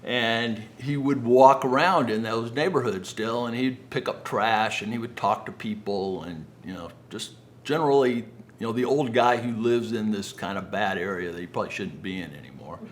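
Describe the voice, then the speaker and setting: A man's voice: on the low side, normal speed. An older American man telling personal stories to an audience in a conversational way, acting out remembered dialogue. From Los Angeles area, United States. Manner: conversational, acts out quoted dialogue. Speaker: guest speaker, business founder.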